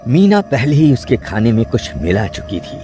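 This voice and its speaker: A man narrating a scripted suspense story with dramatic, measured storytelling. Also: mid-range voice, sing-song, over music.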